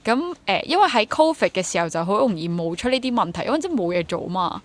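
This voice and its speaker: A woman's voice: medium pitch, big pitch swings, fast talker. A woman in her twenties with a Hong Kong Cantonese accent, talking casually as an interview guest, reflective and rephrasing as she goes, mixing in English words. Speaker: interview guest, freelance actor and drama teacher.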